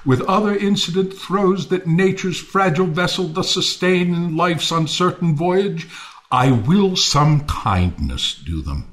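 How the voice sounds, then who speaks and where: A high, wildly swooping, bitter misanthrope. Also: male voice. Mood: mock-kindly, bitter. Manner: theatrical, swinging from quiet to declaiming. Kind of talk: Shakespearean audio play acting.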